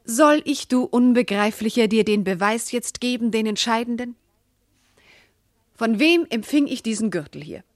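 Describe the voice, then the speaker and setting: An actress playing a proud, loving, wounded noble wife in classical verse radio drama, speaking in an earnest, elevated way. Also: female voice, on the high side, expressive, normal speed, close to an everyday voice. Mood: indignant, wounded.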